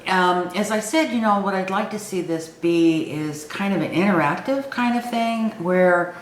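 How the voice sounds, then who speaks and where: A woman's voice: mid-range voice, moderate pace. A woman aged sixty-five talking informally and reflectively to her viewers, thinking aloud with some hesitation. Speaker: online video host. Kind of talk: reflective personal talk to camera. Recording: echoey room with faint background noise.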